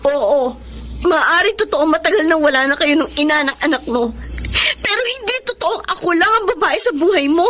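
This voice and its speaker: A woman's voice: high-pitched, lively intonation, moderate pace, a little hiss or hum. An actress playing a betrayed, heartbroken young woman in a radio drama, emotional, pleading and reproachful. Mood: accusing, wounded. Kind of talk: Tagalog radio drama acting. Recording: radio drama with loud music bed. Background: dramatic music.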